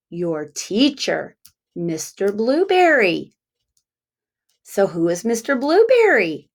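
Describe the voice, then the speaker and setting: Female kindergarten teacher, read-aloud style. Very unhurried, lively swooping intonation, deliberate child-directed delivery with questions. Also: mid-range voice. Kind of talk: interactive picture-book read-aloud with questions.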